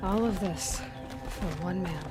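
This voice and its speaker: A woman's voice: medium pitch, a little slow, music in the background, close to an everyday voice. An actor playing a headstrong young huntress in a video game, a woman speaking in a direct, matter-of-fact way. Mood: curious.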